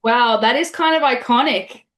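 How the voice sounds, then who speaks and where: Chatty young woman, relaxed and casual. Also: a little high, normal speed. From Australia. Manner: relaxed, chatty, many fillers. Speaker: interview guest. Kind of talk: casual interview answers.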